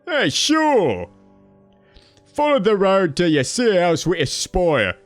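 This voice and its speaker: A cheerful undead skeleton worker, as a man puts him on, rambling in folksy slang. His voice sits a bit high and swoops up and down lively. Soft music plays behind him. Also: slow pace, dry recording, exaggerated voice. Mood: friendly. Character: friendly undead male skeleton laborer.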